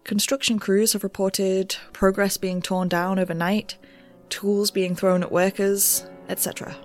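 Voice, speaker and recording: An actress playing an eager, nervy young amateur ghost researcher, talking in wry, rambling audio notes for an audio drama. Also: female voice, medium pitch, measured pace, soft background music, lightly acted. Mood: formal read-out. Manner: rambling, wry, animated asides.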